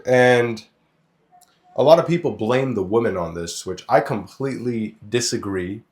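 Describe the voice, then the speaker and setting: A man's voice: medium pitch, animated, a little slow, darkish tone. A young man from Lebanon co-hosting a podcast, talking bluntly and crudely, swearing as he tells stories and gives opinions. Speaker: podcast co-host. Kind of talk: casual opinionated podcast chat.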